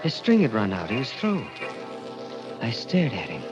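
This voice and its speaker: A haunted man narrates his own grim confession in old radio thriller style, intense and dramatic at a medium pitch. Music plays clearly behind him on a dull vintage recording. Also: wildly swooping pitch, normal speed. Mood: grave suspense. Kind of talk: 1940s radio acting, first-person narration.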